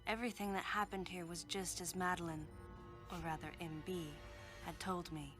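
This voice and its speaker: Game role: actress as a stoic female bounty hunter, terse, slightly low voice, soft music underneath. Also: close to an everyday voice. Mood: reflective. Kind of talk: game cutscene voice acting and inner monologue.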